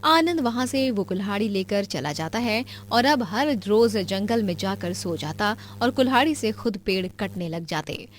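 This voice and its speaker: A woman's voice: medium pitch, lively intonation, normal speed, few pauses, slight background noise. A woman storyteller reading scripted narration for a cartoon moral tale.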